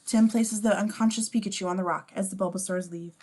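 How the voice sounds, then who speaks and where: A woman's voice: mid-range voice, fairly even intonation, a little fast, warm tone. A woman reading scene descriptions as narrator in a table read of a script.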